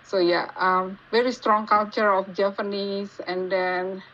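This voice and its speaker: A woman with an Indonesian accent giving casual interview answers, haltingly searching for words as she goes. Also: medium pitch, level tone, a bit bright. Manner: halting, searching for words in non-native English. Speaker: podcast guest, geologist. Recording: dull lo-fi call with faint noise.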